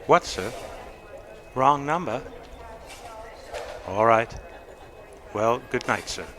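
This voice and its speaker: A man's voice: mid-range voice, animated, extremely slow, background noise. A man playing a matter-of-fact police desk sergeant answering the telephone in a radio play. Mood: businesslike. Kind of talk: old-time radio drama acting.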